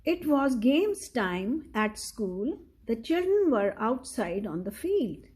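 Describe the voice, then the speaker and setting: A woman reading a children's story aloud in a deliberate, instructive manner, talking directly to the listener. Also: a little high, sing-song, a little mellow.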